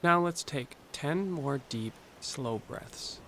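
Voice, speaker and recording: Calm man guiding a meditation. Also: a little high, a little hiss or hum. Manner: calm, gentle, instructional with pauses. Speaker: guided meditation narrator. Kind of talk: scripted guided meditation.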